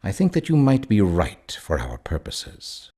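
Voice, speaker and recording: A man's voice: fairly low pitch, wildly swooping pitch, unhurried, mellow, heavily acted. A man playing a secretive, imperious agent of shadowy investors in a video game, formal and pompous in his speech. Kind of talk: video game character dialogue.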